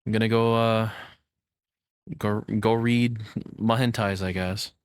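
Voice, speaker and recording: Low-voiced man, casual dry-sounding commentary. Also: fairly even intonation, slow pace, smooth, no echo. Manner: loose, rambling, acts out imagined quotes. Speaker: reaction host, commentator.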